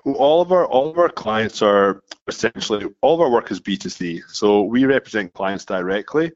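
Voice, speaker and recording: Scottish founder in his thirties, low-pitched, restarts phrases in a steady flow; dull, dry sound. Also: normal speed, dry recording. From Scotland. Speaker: founder of a marketing agency, guest. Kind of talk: casual interview talk. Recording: dull muffled dry recording.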